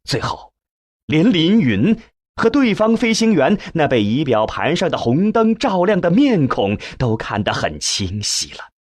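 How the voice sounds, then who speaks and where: A man reading a novel as a scripted narration, with measured, expressive storytelling and a husky voice. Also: fairly high pitch, no echo. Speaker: audiobook narrator.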